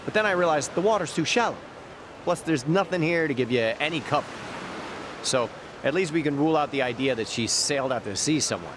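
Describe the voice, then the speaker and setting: A chatty, teasing amateur sleuth in a game cutscene , played by a man. His voice sits fairly high and moves around a lot, wordy and playful, with outdoor noise behind. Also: expressive, a little fast, natural voice. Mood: pondering. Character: talkative, teasing man playing amateur detective. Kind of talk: video game voice acting. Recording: clear outdoor background noise.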